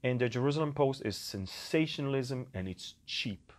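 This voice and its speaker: A middle-aged man with a medium-pitched voice speaks as a commentator addressing his viewers directly. He is emphatic and opinionated, often repeating words to drive a point home. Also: lively intonation, moderate pace. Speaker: ministry leader giving news update. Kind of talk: opinionated current-events commentary to camera. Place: bright furnished room indoors, seated by a window.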